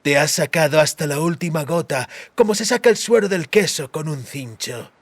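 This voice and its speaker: Man performing a cruel male villain, raised pitch, menacing, gloating, vengeful, sneering fantasy audiobook henchman. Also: normal speed, dry recording. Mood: gloating, taunting. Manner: sneering, threatening, taunting. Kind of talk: dramatized fantasy audiobook acting.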